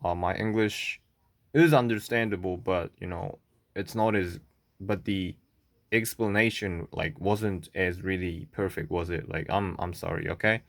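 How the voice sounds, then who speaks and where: A man from South Korea speaking English with a Korean accent, talking to camera in a halting, stuttering way with many fillers and "okay?" checks. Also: deep voice, no room sound. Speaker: vlogger. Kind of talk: unscripted monologue to camera.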